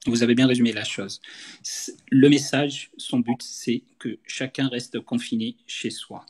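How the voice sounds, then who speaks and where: A man's voice: mid-range voice, fairly even intonation, unhurried, breathy edge. A man speaking as a doctor in an interview, earnestly urging listeners to stay home. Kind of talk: interview guest giving health advice.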